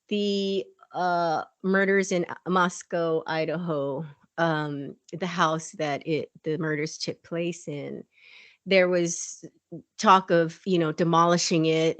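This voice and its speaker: A woman's voice: fairly low pitch, no echo. A woman co-hosting a podcast in casual chat, rambling and laughing often as she tells stories. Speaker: podcast co-host.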